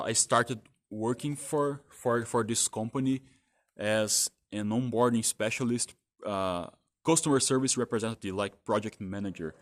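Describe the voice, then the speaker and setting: Halting man with a Portuguese native tongue. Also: on the low side. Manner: halting, many restarts and "like" fillers. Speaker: vlogger telling his career story. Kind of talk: casual spoken monologue.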